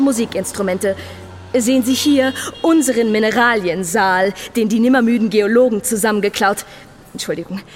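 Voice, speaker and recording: A woman's voice: a little high, slight background noise, natural voice. A woman playing an ironic museum guide, presenting to an audience in a mock-earnest theatrical monologue. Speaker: stage performer. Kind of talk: theatrical monologue in a satirical revue.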